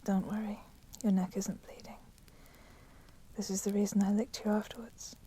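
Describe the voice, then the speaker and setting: A woman voicing a proud, resentful female vampire in a whispered ASMR roleplay, speaking softly and deliberately in formal, menacing phrases. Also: mid-range voice, flat delivery, natural voice. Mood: coolly teasing. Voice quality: soft, breathy whispering. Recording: constant crackling fire noise behind voice. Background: crackling fire.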